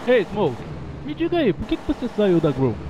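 A man plays a young Brazilian gangster in a video game dub. He talks in casual slang with a lively, slightly high voice. The game audio behind is clearly noisy. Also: normal speed, muffled recording. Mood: questioning. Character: young male street gangster, loyal, questioning, straight-talking. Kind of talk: Portuguese fan dub of a crime video game.

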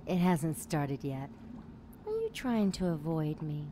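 A woman's voice: sits low, big pitch swings, slow, warm tone, a little hiss or hum, exaggerated voice. An actress playing a seductive, menacing supernatural temptress in a radio drama, coaxing as she speaks.

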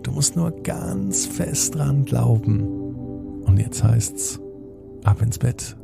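A man narrating a bedtime story in a slow, soothing way, speaking directly to the child listening. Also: medium pitch, expressive, gravelly, loud music behind the voice.

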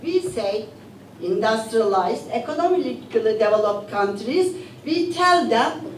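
An older woman, an English speaker, speaking on a panel and asking the audience rhetorical questions in an animated lecturing way. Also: fairly high pitch, unhurried, slight background noise. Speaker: panelist, academic. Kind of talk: lecture-style panel talk. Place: conference room with audience. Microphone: podium mic, recorded from far camera. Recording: roomy hall audio from far off.

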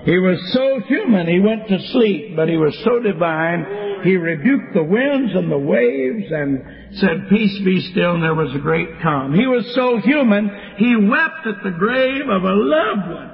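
A high-pitched man preaches in a church, building fervent, rhythmic cadences. The recording is dull and lo-fi, with a long reverb trailing off the walls. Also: lively intonation, a little slow, hardly any pauses. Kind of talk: sermon.

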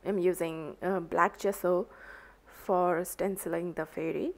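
A woman with an Indian English accent, a native Hindi speaker, narrating an art journaling tutorial in a halting way, full of ums and uhs. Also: fairly low pitch, steady tone. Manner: slow, hesitant, many ums and uhs. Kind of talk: crafting tutorial voice-over.

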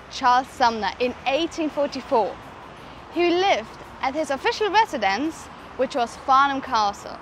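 Higher-voiced young woman vlogging. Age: 20s. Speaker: vlogger, presenter. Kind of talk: travel vlog presenting to camera. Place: outdoors in a churchyard. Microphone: clip-on lapel mic.

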